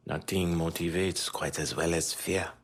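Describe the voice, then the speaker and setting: A man playing a grieving, embittered middle-aged Haitian gang boss in a video game, with a Haitian accent, speaking gravely and deliberately. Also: fairly even intonation, a little hiss or hum. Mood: solemn, resigned. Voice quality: husky, low voice. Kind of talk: dramatic video game dialogue.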